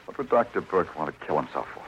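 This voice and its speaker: An actor playing a world-weary, tough police detective in a terse, hard-boiled radio crime drama. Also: male voice, sits low, very animated, fast talker, a bit bright, heavily acted. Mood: stern, probing. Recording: muffled, noisy old radio broadcast recording.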